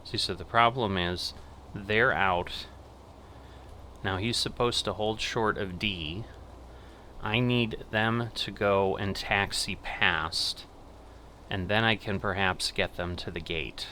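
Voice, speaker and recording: Low, slow-talking man thinking aloud. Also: bright, forceful tone, slight background noise. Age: middle-aged. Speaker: gaming channel host playing air traffic controller. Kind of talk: casual gameplay commentary with radio commands.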